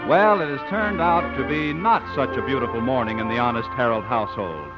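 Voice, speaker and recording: A man's voice: medium pitch, expressive, brisk. A man reading scripted narration as a 1950s radio announcer, formal and polished. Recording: dull old radio recording with music.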